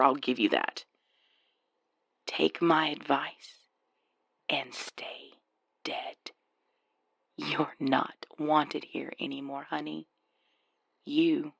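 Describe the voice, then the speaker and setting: A woman playing a scheming, venomous gold-digging wife in an audio drama, with a breathy, gravelly low voice and sneering contempt. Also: no echo, full character voice. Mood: cold menace. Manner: sneering, threatening, hissed contempt. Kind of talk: audio roleplay acting.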